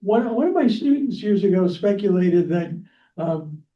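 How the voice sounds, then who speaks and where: A man moderating a library panel, speaking off the cuff in a halting way. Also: high voice, lively intonation. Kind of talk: unscripted remarks at a library panel. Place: echoing library event room. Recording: roomy, muffled, distant microphone.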